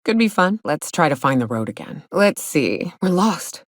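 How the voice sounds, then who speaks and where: A gruff female monster hunter from a video game, pitched low and recorded very dry. She drawls in a folksy, sardonic way, like a hard-drinking trail guide who has seen plenty. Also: big pitch swings, normal speed, no echo. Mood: dryly joking. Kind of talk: video game character dialogue.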